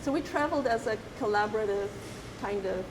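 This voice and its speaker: A woman's voice: medium pitch, noisy background. Woman speaking thoughtfully in a roomy hall. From Zimbabwe. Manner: thoughtful, pausing, many fillers and restarts. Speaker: artist. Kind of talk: reflective conversational talk. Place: gallery.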